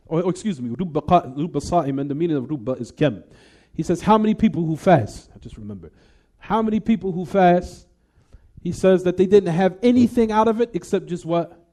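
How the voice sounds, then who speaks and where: Man teaching a lesson, dark voice. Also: on the high side. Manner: asks the class rhetorical "what?" questions and checks "everyone?"; casual, conversational English mixed with Arabic terms. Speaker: Islamic teacher. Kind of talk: classroom lesson explanation.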